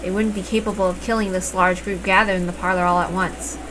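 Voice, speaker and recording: A woman reading a visual novel aloud as expressive first-person story narration, with emphatic openings to phrases. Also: on the low side, fast talker. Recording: noticeable background noise.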